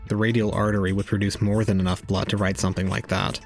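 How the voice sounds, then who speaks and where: A man playing a detached young male suspect in an audio drama, speaking in a deadpan, clinical manner. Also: lowish voice, quick pace, creaky, faint music behind.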